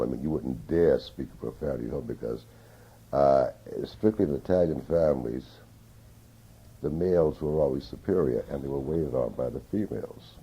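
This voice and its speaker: Gravelly low-voiced older man reminiscing. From Newton, Massachusetts. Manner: slow, reflective, with many hesitations. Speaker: oral history interviewee. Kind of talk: reminiscing in an interview. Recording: dull lo-fi 1981 tape.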